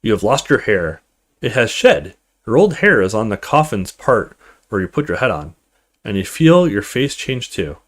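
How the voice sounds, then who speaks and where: A man's voice: lowish voice, very animated, normal speed. A man hosting a let's play, reading visual novel text aloud with casual commentary.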